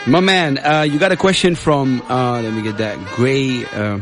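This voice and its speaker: Man, radio host, halting speech, fillers, music clearly behind. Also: mid-range voice, steady tone. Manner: halting, many restarts and fillers. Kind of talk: radio interview hosting.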